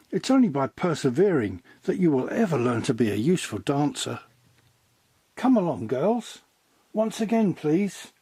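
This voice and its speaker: A man's voice: on the high side, mellow, breathy edge, dry recording, pitched above the performer's own voice, exaggerated voice. A man voicing a patient but exacting female ballet teacher in a children's storybook, instructing firmly and encouragingly. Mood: stern. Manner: instructive, precise, commanding.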